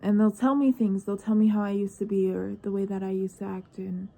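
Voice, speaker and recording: A woman's voice: medium pitch, level tone, fairly quick, vocal fry, narrow, tinny audio. A nineteen-year-old woman talking to herself in a personal vlog, searching and hesitant, her thoughts trailing off into questions. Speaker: vlogger. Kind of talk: reflective personal monologue.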